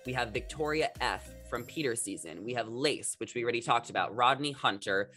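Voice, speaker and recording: A young man in his twenties talks straight to camera in an upbeat, chatty gossip-vlog way, sprinkling his talk with "like" and "um". His voice is bright and sits at a middle pitch, picked up on a desk mic, with faint music playing underneath. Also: expressive, fairly quick. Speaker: reality TV gossip vlogger. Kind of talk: casual chat to camera.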